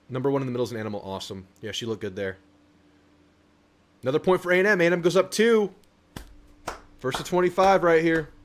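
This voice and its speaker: Casual young man hosting a livestream. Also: on the high side. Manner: casual, reads viewer chats aloud and answers them. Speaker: livestream host. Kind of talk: casual live stream chat.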